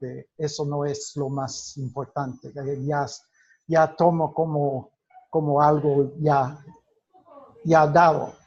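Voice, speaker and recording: A male teacher talks his class through a lesson at an unhurried, deliberate pace, often restarting his phrases and putting questions to the room. His voice sits a little high for a man. The recording is muffled and lo-fi. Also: little pitch movement, darkish tone. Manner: slow, halting delivery with many restarts, quizzing the class. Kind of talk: classroom lecture. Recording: dull lo-fi recording.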